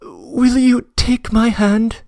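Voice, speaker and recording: A man's voice: extremely high pitch, flat delivery, slow pace, creaky, a little mellow, dry recording, exaggerated voice. A man voicing a passionate young woman who pleads earnestly, close to tears, in an audiobook reading. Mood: tearful pleading. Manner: trembling, earnest, breathless confession. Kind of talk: audiobook reading of a classic novel.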